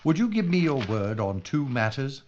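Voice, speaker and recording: An actor playing a gruff but courteous London police inspector in an audio drama, with a brisk, commanding, proper manner. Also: male voice, medium pitch, big pitch swings, darkish tone, lightly acted. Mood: steady, authoritative. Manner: brisk, commanding, proper British turns of phrase. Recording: dull, noisy recording with clicks.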